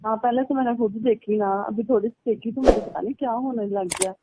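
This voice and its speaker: A woman's voice: fairly high pitch, steady tone, fairly quick, very noisy. A woman chatting casually and recounting personal stories over a band-limited phone call. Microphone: telephone line.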